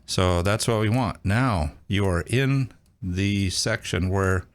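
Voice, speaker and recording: A man with a low-pitched voice presents a casual, hands-on tutorial. He talks informally, thinking aloud as he demonstrates, like a host showing viewers around a device. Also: slow pace, dry recording. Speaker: host of a radio how-to channel.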